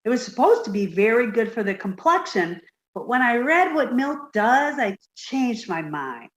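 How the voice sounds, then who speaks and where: A woman's voice: a little high, big pitch swings, moderate pace, roomy sound. A woman voicing a childlike, dim, easily frightened young woman in a radio comedy. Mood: naive, earnest. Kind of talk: 1950s radio sitcom reading.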